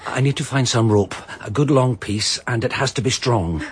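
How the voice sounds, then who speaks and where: A man's voice: medium pitch, normal speed, husky. An actor playing a determined man trying to prove a woman innocent, in a radio drama. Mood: urgent. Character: earnest protective man, determined to help. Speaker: McWhirter, amateur investigator. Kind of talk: BBC radio drama acting.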